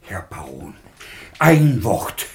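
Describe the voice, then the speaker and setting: Obsequious tutor, radio drama, very slow, animated melody, scandalised. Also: male voice, low voice, soft background music, heavily acted. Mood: conspiratorial. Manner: fawning, conspiratorial. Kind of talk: German radio play acting.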